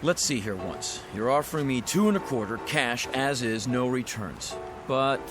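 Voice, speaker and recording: A man's voice: medium pitch, lively intonation, normal speed, over music, lightly acted. A man playing a slangy used-car lot owner with a salesman's patter in an audio drama. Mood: reluctant, sheepish.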